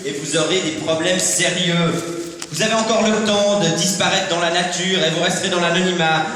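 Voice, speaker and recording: A man's voice: high voice, bright, forceful tone. An actor playing a domineering, contemptuous boss and stern father in a live radio play, commanding and self-important. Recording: echoey hall, distant mic, faint noise.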